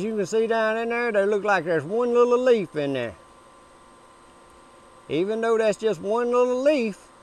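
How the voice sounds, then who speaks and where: A man's voice: sits high, slight background noise. An older man gives a folksy, casual how-to talk outdoors by his backyard pool, speaking to a camera a few feet away. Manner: folksy, down-home, repeats himself. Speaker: homestead vlogger.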